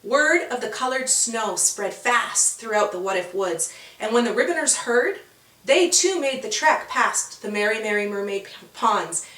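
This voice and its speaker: A woman narrating a scripted children's story in a measured, dramatic storytelling manner. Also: mid-range voice, pushed and bright. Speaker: author and narrator. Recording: roomy, distant from the mic.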